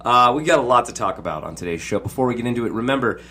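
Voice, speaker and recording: A hoarse young man from Ohio hosting a casual podcast, rambling and joking with lots of fillers. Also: medium pitch, expressive, rapid-fire, sharp tone, slight background noise. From Ohio, USA. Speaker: podcast host.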